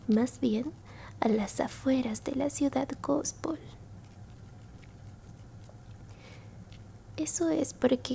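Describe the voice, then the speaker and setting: A woman voicing a kindly elderly alien grandmother in a slow, hushed, soothing ASMR roleplay. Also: high voice, creaky, slight background noise, full character voice. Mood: gentle concern.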